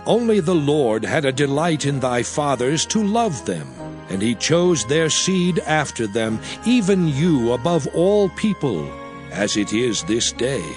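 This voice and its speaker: A man playing a solemn, commanding prophet in a dramatized scripture reading, speaking in a deliberate, oratorical way. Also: medium pitch, big pitch swings. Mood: exhorting. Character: solemn, commanding male prophet addressing his people. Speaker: Bible narrator. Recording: music bed behind the voice. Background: music.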